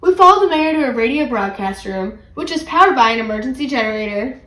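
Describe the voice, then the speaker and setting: A woman reading a children's story aloud in an animated, expressive way. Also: sits high, quick pace, echoey room, low-quality recording. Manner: animated, acts out character dialogue. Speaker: read-aloud channel host.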